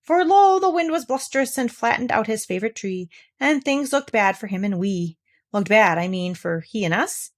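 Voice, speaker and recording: Woman as a simple, earnest storybook bear, lilting and lively. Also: on the high side, normal speed, close to an everyday voice. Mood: musing. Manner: musing, sing-song when reciting. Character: simple, earnest, modest male toy bear who makes up poems. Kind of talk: children's storybook read aloud.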